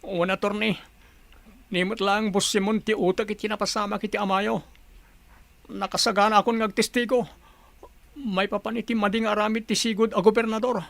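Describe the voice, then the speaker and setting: A hired gunman lying wounded in a radio drama. His voice is pushed high and strained with pain. He pleads and confesses, close and dry. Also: flat delivery, normal speed, vocal fry, long pauses, fairly bright tone, dry recording. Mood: anguished confessing.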